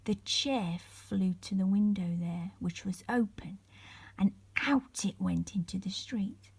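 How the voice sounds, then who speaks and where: A woman reading a children's bedtime story aloud as an expressive storyteller. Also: mid-range voice, vocal fry, breathy edge.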